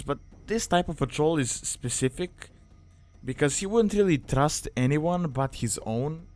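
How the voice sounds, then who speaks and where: A man narrating a video guide in a casual, explanatory way, with informal, conversational phrasing and loose hedging. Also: fairly high pitch.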